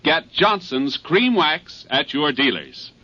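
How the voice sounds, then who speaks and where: A man's voice: a little high, big pitch swings, slow, heavy background noise. A man reading a 1950s radio commercial in an emphatic, persuasive manner. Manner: emphatic, persuasive sales delivery. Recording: muffled old radio recording.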